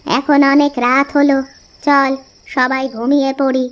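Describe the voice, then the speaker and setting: A woman playing a gentle, wise mother in a children's cartoon, speaking to grown sons in a measured, coaxing way. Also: high voice, little pitch movement, dull audio. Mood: calm, instructive.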